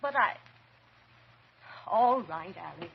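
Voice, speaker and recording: An actress playing a frightened, pleading woman, halting with dread, in an old-time radio horror drama. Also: lowish voice, lively intonation, gravelly, heavy background noise, heavily acted. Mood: uneasy, afraid. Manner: hesitant, halting, breathless dread. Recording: dull vintage radio recording.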